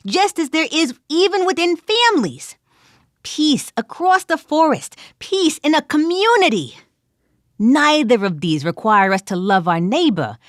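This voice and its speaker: A woman's voice: high voice, no room sound, clean studio sound, pitched above the performer's own voice. A woman voicing an earnest adventurer who gives a grand, formal plea for peace.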